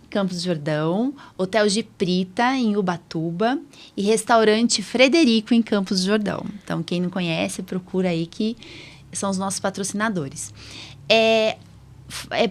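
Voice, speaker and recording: A woman's voice: medium pitch, fairly bright tone. Chatty middle-aged woman hosting. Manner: chatty, informal, addresses the audience directly. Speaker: podcast host, allergist doctor. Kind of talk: casual podcast hosting.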